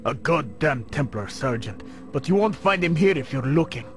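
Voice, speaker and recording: A man's voice: on the high side, lively intonation, moderate pace, soft background music, lightly acted. An actor playing a grim, embittered dockside foreman in a video game, guarded and bitter in manner.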